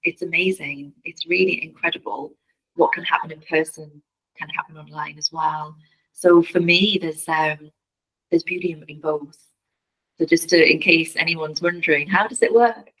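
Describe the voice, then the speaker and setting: Guest, woman, low-ish pitch, sharp bright tone with some husk, earnest and searching. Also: steady tone, normal speed, breathy edge, rough recording. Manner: earnest, repeats words as she searches for them. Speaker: podcast guest, family constellations facilitator. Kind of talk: casual interview chat.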